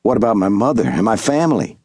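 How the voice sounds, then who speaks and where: A man's voice: on the low side, very animated, fast talker, darkish tone, husky, no echo, studio quality. A man voicing an anxious, grieving young man who asks urgent questions about his family.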